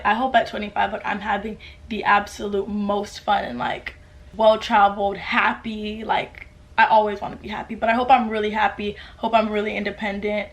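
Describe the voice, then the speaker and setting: A woman in her late teens, from the US, answering viewer questions in a casual, upbeat vlog, full of "like" fillers. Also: mid-range voice. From United States. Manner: chatty, upbeat, lots of "like" fillers. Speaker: YouTuber. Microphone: camera mic with ring light.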